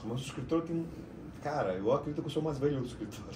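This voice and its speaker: A middle-aged man from Argentina with an Argentine Spanish accent, a native Spanish speaker, talking about his work in a casual, slightly halting way as a podcast guest. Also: mid-range voice, animated, a little mellow, a little hiss or hum. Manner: chatty, slightly halting storyteller. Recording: roomy echo, mic at a distance.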